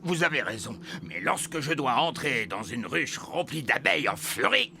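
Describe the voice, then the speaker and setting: A man playing a wise, practical old elf beekeeper in a children's cartoon, explaining things in an instructive, creaky voice. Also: medium pitch, very animated, normal speed, background noise. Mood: measured, explaining. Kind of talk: animated children's cartoon acting.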